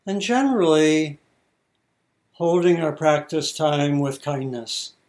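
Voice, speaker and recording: A man teaching meditation, giving guided instructions in short, measured phrases with pauses between them. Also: a little high.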